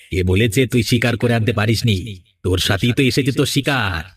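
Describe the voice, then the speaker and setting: A man voicing a menacing man-eating male ghost in a horror cartoon, taunting and gloating with drawn-out threats. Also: mid-range voice, slightly rough, no room sound.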